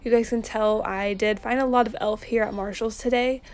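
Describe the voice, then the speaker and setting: A young woman narrating a casual shopping haul, chatty and relaxed, with lots of ums and likes. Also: fairly high pitch, moderate pace. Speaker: vlogger.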